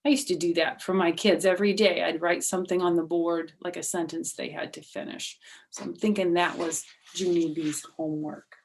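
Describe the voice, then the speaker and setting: A woman's voice: lowish voice, steady tone, moderate pace. A middle-aged or older woman reading a children's book aloud expressively, with chatty asides to young listeners. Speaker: storyteller.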